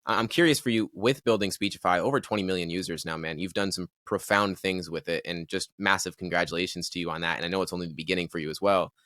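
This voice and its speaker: A male podcast host with a very low voice that still carries a crisp, forceful edge. He muses out loud, stopping and restarting as he works through ideas, in a dry, close sound. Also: steady tone, no echo. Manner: reflective, halting with restarts. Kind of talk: casual podcast conversation.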